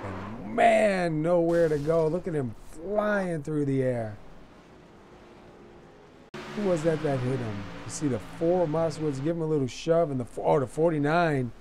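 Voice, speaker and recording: A man doing play-by-play commentary on a simulated dirt race, a little hoarse from being sick, with many fillers and bursts of excitement. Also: a little high, noisy background. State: losing his voice after being sick. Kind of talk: sim racing commentary.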